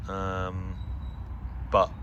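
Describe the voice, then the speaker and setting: A man's voice: deep voice, monotone, extremely slow, a bit bright, muffled recording. A middle-aged man giving a casual, unscripted update, rambling hesitantly into asides. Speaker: radio hobbyist video host. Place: outdoors in a garden. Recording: outdoor ambient noise.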